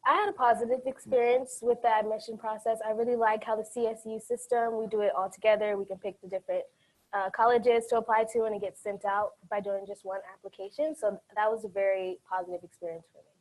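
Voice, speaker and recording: A woman's voice: mid-range voice, steady tone, quick pace, a little mellow, poor audio. A young woman from Los Angeles, a university senior on a student panel, upbeat and confident. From Los Angeles, California. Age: young adult.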